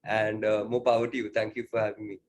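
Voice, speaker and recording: A man's voice: on the low side, little pitch movement, fast talker, darkish tone, dull audio. A man, a singer-songwriter answering interview questions in a reflective way, with many fillers.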